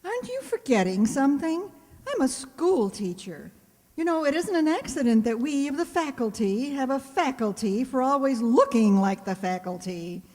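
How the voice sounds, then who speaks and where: A woman's voice: high voice, very animated, moderate pace, darkish tone. An actress playing a wry female schoolteacher with a dry, sardonic delivery in a comic radio play. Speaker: amateur radio-play actor. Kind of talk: comic old-time radio sitcom acting. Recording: echoey hall with long reverb.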